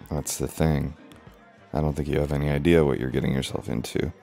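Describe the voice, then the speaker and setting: Flirty, commanding former professor, acted by a man: extremely deep, creaky, breathy delivery for intimate ASMR roleplay. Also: steady tone, fairly quick, dark tone, soft background music. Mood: seductive. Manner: breathy, murmured, teasing, often dropping to near-whisper.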